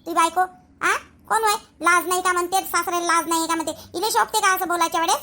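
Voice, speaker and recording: A woman's voice: extremely high pitch, fairly even intonation, quick pace, sharp tone. A woman playing a fiery young sister who scolds and threatens. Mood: scolding. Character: fiery young sister loyal to her parents. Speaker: actor in a family drama skit.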